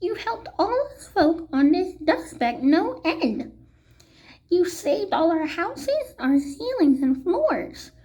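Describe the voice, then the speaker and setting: A woman's voice: very high voice, sing-song, takes it slowly, darkish tone, voice pushed up, exaggerated voice. A woman voicing a tiny, polite little mayor in a storybook, piping, friendly and earnest. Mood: grateful. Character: tiny, polite, grateful male mayor of speck-sized folk.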